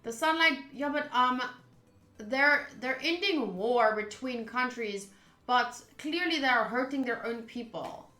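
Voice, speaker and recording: A chatty, expressive woman, a native Afrikaans speaker, streaming a game with casual talk and reading aloud. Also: on the high side, a little slow. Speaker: streamer playing a visual novel. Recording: roomy sound with music underneath. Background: game music.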